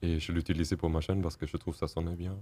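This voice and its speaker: A man, a podcast guest talking in a frank, expressive way. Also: low voice, fast. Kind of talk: casual chat.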